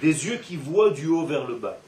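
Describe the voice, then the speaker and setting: A man giving an animated Torah class with playful asides, recorded from a distance in a roomy classroom. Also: a little high, moderate pace, slight background noise. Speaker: Torah teacher. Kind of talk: religious class lecture. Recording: echoey room, from far off.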